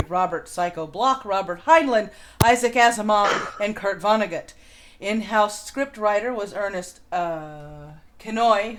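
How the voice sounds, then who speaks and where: A woman hosts in a casual, conversational way, with a medium-pitched voice. She moves between loose chat and reading out notes. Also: fairly bright tone. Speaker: host of a riffing show.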